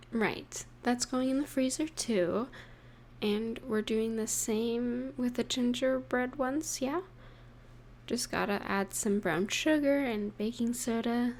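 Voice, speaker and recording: A high, slow-paced voice for a young, affectionate girlfriend acted by a woman in an intimate audio roleplay. She talks softly to her partner, playful and tender, leaving gentle pauses. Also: gravelly, a little hiss or hum. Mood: warm, affectionate. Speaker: voice actor in romantic audio roleplay. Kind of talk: intimate scripted roleplay addressed to the listener.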